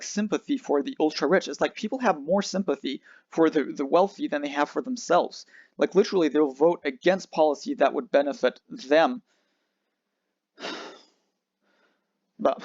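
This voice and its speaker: A man's voice: a little high, no echo, dull audio. A young man from near Geneva who switches between French and English, casually rambling through a livestream chat with lots of restarts and fillers. He speaks French with a Geneva Swiss accent. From France near Switzerland. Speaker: livestream host.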